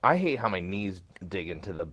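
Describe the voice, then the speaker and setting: Low-voiced young American man chatting casually. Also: big pitch swings, a little fast, crowd noise. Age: young adult. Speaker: podcast co-host. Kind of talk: casual chat among friends.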